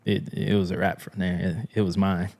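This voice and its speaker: A man's voice: low-pitched, flat delivery, normal speed, gravelly, darkish tone. A young man from New Orleans, a drummer and music professor, telling stories in a casual, slangy podcast interview. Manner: laid-back, slangy, laughs often.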